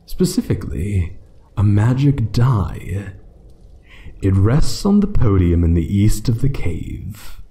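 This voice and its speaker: An ancient dragon guarding its hoard , acted by a man, speaks in a dark, mellow voice that swings widely in pitch. The delivery is measured and theatrical, sometimes hushed, with a condescending, playful menace suited to fantasy ASMR. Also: big pitch swings. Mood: ominous, taunting. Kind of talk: fantasy ASMR roleplay monologue.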